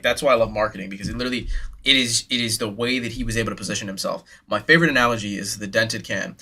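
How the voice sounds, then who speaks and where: A man's voice: lowish voice, sharp tone. A young man hosting a live sports card break, chatting casually and hyped with slang, speaking straight to his viewers in a slightly nasal voice.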